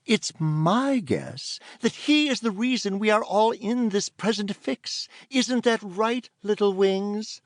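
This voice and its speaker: Brief: male reader doing a prim, commanding fairy aunt for a storybook, raised and lively, dry close sound. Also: high-pitched, takes it slowly, hardly any pauses, dry recording, voice pushed up. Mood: lecturing. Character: stern bossy older fairy aunt.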